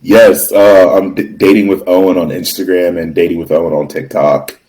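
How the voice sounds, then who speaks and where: Deep voiced guy on a podcast, earnest and kinda measured, says like and you know a lot. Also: flat delivery, dark tone. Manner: earnest, measured, lots of fillers. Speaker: podcast guest. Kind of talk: casual chat.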